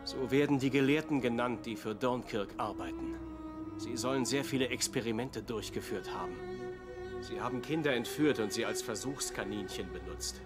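A man's voice: mid-range voice, normal speed, close to an everyday voice. An actor playing a grave, regretful man in an audio drama. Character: grave, regretful man explaining dark secrets. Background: loud music.

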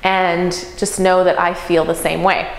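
A woman's voice: lowish voice, level tone, measured pace, creaky. Upbeat woman in her 30s vlogging from an empty bedroom, voice bouncing off bare walls. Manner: upbeat, chatty, practical. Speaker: real estate investor vlogger. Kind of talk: casual talk to camera. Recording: echoey empty room.

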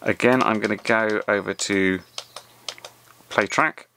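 A man's voice: lowish voice, takes it slowly, pushed and bright, a little hiss or hum. A man presenting a casual hands-on tutorial in a conversational, step-by-step way. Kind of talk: casual spoken tutorial over a hands-on demonstration.

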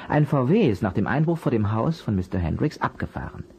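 A calm, clever detective from a children's radio play. His voice has a slightly raspy grain and moves with a lively melody. He explains patiently, step by step, in a friendly way to children. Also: mid-range voice, brisk, muffled recording, exaggerated voice. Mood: patient explaining.

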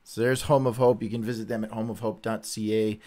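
Guy in his 40s, lowish voice, chatting on a livestream, kinda halting and fixing his words as he goes. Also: level tone, mellow, faint music behind. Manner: halting, informal, self-correcting. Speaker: host of a Bible read-along. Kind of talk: casual livestream chat. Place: small indoor room, seated before a webcam.

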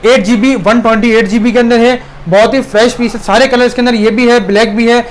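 A Pakistani man with a strikingly high voice talks at a quick clip. He sounds like a shopkeeper pitching his stock on camera, casual and eager, circling back over prices and specs. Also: steady tone, slight background noise. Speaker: phone shop retailer. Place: small phone shop, indoors. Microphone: clip-on lapel mic.

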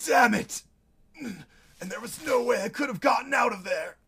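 A man's voice: very high-pitched, expressive, moderate pace, vocal fry, pushed and bright, slightly rough, shouting, exaggerated voice. A man playing an arrogant, hot-tempered alien warrior in a cartoon parody, blustering, sarcastic and theatrical. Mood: bitter, furious. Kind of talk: comedic anime parody voice acting.

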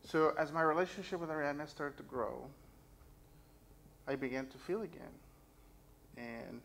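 Gravelly-voiced man preaching at a pulpit. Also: on the high side, fairly bright tone. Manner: earnest personal testimony, reads scripture aloud. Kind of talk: sermon with scripture reading. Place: church sanctuary stage. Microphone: stand mic at pulpit, far camera.

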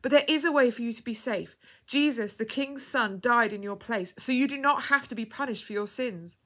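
A woman storyteller plays a compassionate, devout mother in a dramatic tale. The voice is lifted in pitch, earnest and urgent, full of emotion. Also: brisk, slightly rough.

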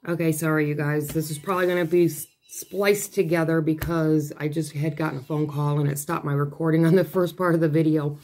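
A woman with a hoarse, failing voice chatting casually at her dining table as she unboxes craft kits, rambling and breaking off mid-thought. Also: low-pitched, level tone, fairly quick, few pauses, darkish tone. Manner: rambling, chatty, self-interrupting. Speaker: craft vlogger. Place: home dining room, seated at a table.

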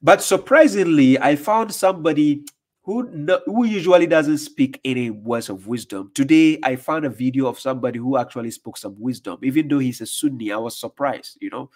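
A man's voice: mid-range voice, a little fast, a little mellow. A man in his thirties hosting a casual livestream, reading viewer comments aloud with many "uh"s and "right?"s, his voice slightly worn from a recent cold. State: recovering from losing his voice. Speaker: livestream host. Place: dark room with curtains, indoors. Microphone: desk condenser mic in front.

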